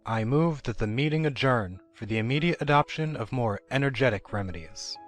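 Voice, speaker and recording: A solemn old-fashioned dodo acted by a man for a storybook audiobook. He is ponderous and wordy, in a middling pitch. Faint music plays behind. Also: expressive, fairly quick, close to an everyday voice. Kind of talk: dramatized storybook audiobook acting.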